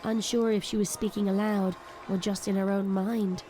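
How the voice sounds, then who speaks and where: A woman's voice: mid-range voice, level tone, moderate pace, dark tone, low-quality recording. A woman narrating a scripted fantasy story as its narrator. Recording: clear background noise and ambience.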